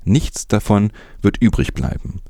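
Male news host, low and warm voice, dry close recording, scripted delivery with commentary. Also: sing-song, measured pace, no room sound.

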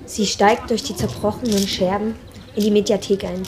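A woman's voice: mid-range voice, steady tone, moderate pace, natural voice. A woman narrating an amateur radio play as a neutral storyteller, reading from a script on a slightly noisy recording. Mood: matter-of-fact.

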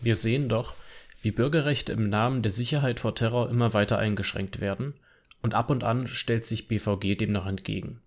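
A man's voice: on the low side, steady tone, a little fast. An opinionated male online commenter. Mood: critical, serious. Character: male social media commenter, opinionated and critical. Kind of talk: radio drama collage reading of social media comments.

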